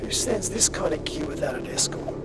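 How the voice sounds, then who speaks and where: A man's voice: a little high, lively intonation, a little fast, whispered, voice pushed up, lightly acted. A man voicing a nervy, wary lookout in a sci-fi audio story, with edgy military slang.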